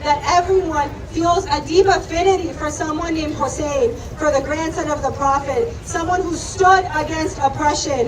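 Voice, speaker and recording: A woman's voice: high voice, brisk, few pauses, recorded from a distance. A young woman giving an impassioned, emphatic protest speech outdoors, repeating phrases for emphasis. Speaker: rally speaker. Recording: crowded noisy outdoor rally, loud street noise. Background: crowd and vehicle noise.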